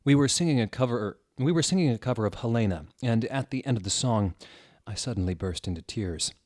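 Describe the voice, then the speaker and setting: A man's voice: medium pitch, animated, fairly quick, darkish tone, no echo, studio quality. A man hosting a dramatic comedic reading, theatrical and wry, with casual asides. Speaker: host reading fan fiction aloud.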